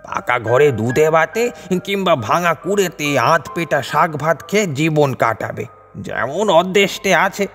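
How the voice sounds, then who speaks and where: Man as a slow, complaining old aunt. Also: a little high, animated, a bit bright, in a large hall, faint music behind. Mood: aggrieved. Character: grumbling old aunt, resentful, fatalistic, matchmaking mother. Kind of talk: dramatised audio story acting.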